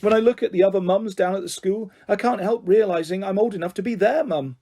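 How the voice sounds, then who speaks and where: A man's voice: high-pitched, quick pace, warm tone, voice pushed up. A man voicing a fretful middle-aged mum. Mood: tearful. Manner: wavering, tearful, earnest. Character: middle-aged mother, fussy and old-fashioned.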